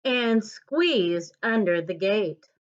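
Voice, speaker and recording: A woman reads a storybook aloud for children at a very slow pace, pausing between short phrases. Her pitch sits in the middle range. The recording is dull and lo-fi. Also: expressive. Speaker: storybook reader.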